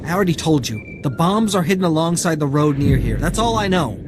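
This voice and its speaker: An actor playing an aggrieved, shifty informant in a video game, whining and wheedling for payment. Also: male voice, fairly high pitch, animated, brisk, noisy background, close to an everyday voice. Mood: grudging. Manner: whiny, indignant, wheedling.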